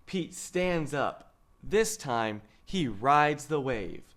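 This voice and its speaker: A young man reading a children's picture book aloud in a measured, expressive storytelling way. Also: mid-range voice. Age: young adult. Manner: measured, expressive storytelling with lifted quoted lines. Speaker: read-aloud host. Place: indoor studio.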